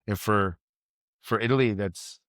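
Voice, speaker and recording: A man's voice: on the low side, measured pace, a bit bright, dry recording, clean studio sound. An American man hosting a casual podcast conversation, rambling with many restarts and self-corrections. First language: English. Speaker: podcast host.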